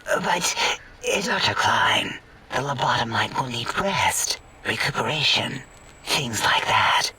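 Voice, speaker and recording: An actor voicing a sultry female robotic scientist in a video game, creepily fascinated, whispering in a breathy, clinical, lingering way. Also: mid-range voice, bright, forceful tone, far from the mic, heavily acted, rough recording. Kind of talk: video game character acting.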